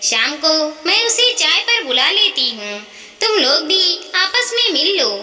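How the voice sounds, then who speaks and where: Caring old widowed mother-in-law, read by a woman storyteller, earnest and persuasive, very bright, echoey. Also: very high voice, sing-song, unhurried, faint music behind, full character voice. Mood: reassuring, persuasive. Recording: echoey, reverberant hall-like sound.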